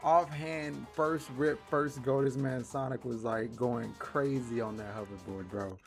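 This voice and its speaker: A man hosting a reaction video in casual, slangy commentary, excitable and quick to laugh. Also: medium pitch, creaky. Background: music and audio from the video being watched.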